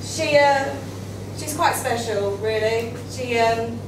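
Young english woman, dry jokes, highish voice, big echoey hall. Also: takes it slowly. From England. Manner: dry, playful humour. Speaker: student presenter. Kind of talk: stage presentation. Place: school auditorium stage. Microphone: handheld mic. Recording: echoey hall, far camera, noisy.

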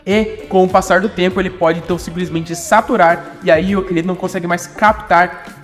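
Insistent high-voiced young man explaining cars. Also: long reverb tail. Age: young adult. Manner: brisk, insistent, repeats key points. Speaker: car maintenance presenter. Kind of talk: explanatory how-to talk. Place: indoor auto workshop.

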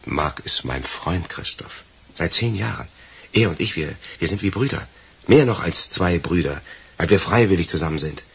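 A very hoarse-grained, lowish man's voice, full of lively rises and falls. He is a loyal, determined crook who pleads his case earnestly and persuasively. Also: moderate pace, exaggerated voice. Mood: determined, pleading. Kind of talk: German radio crime drama acting.

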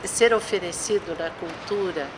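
A Brazilian woman in her fifties answering thoughtfully in a street interview, listing examples one by one. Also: on the low side. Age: 50s. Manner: slow, thoughtful, lists items. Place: outdoor train station. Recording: outdoor traffic noise.

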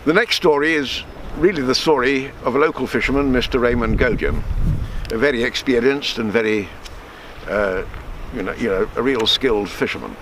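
A man, reading a true story aloud in measured, deliberate phrases. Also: mid-range voice. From Alderney, Channel Islands. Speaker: storyteller reading his book. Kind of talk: read-aloud true story with spoken asides. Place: outdoors on a rocky harbour shore. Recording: outdoor wind and water noise.

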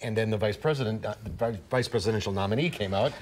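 A man co-anchoring a TV news segment, brisk and casual, from a studio couch with a lapel mic. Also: on the low side, a little hiss or hum. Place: TV studio set, seated on a couch.

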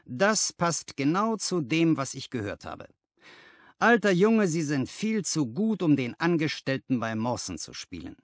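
A man acts out a brisk financial agent in a detective audiobook. He is self-assured and full of flattery, with an emphatic, lively delivery that often jumps higher. The sound is close and dry. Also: no echo. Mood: enthusiastic. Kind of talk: dramatized audiobook dialogue.

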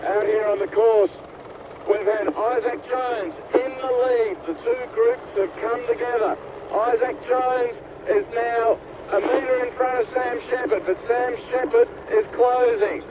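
A man on a boat calling the positions in a live swim race, reporting briskly over a radio link. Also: very high voice, fairly even intonation, a loud crowd. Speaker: boat commentator. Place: on a boat out on the water. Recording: tinny radio link with noise.

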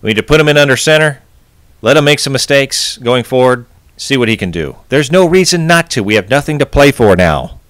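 A man's voice: mid-range voice, lively intonation, fairly quick, a bit bright, dry recording. A man hosting a sports podcast, venting his frustration in a post-game rant. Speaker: podcast host.

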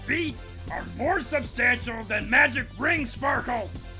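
Woman acting high-voiced, grumpy cartoon crocodile boss. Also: expressive, slow, sharp tone, soft background music, exaggerated voice. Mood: grumbling. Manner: blustering, grumbling. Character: grumpy, bossy, greedy male crocodile detective leader. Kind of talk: cartoon comic fan dub.

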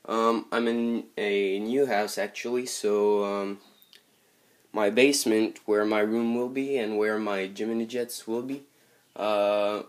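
A man from Montreal with a Quebec French accent, hesitantly showing off his model airplane collection in a casual vlog, full of ums and uhs. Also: on the low side. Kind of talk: casual show-and-tell vlog. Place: bedroom with model airport table, indoors.

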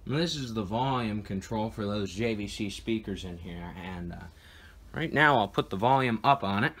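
A man's voice: fairly low pitch, moderate pace, noisy background. A man giving an informal, matter-of-fact demonstration of equipment as a video host, recorded indoors at home.

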